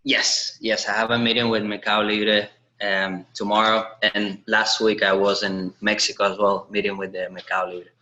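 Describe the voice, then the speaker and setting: A man, telling his story as a podcast guest in an earnest, halting way with small grammar slips. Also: lowish voice, little pitch movement, pushed and bright, highs cut off. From Latvia. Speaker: podcast guest, online seller. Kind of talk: casual interview chat.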